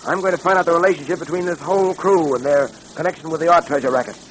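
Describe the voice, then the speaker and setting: Fast-talking, determined detective hero, acted by a man. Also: fairly high pitch, natural voice. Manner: decisive, clipped, urgent. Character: determined, brisk male police detective, heroic and commanding. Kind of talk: 1940s radio acting. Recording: faintly noisy old radio recording.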